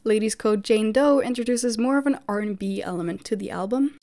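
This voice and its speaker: A woman's voice: fairly high pitch, brisk. A woman giving a scripted, opinionated voice-over about her fan picks for a music group. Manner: opinionated, chatty, fan-enthusiast delivery. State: recovered from losing her voice. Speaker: K-pop fan video creator.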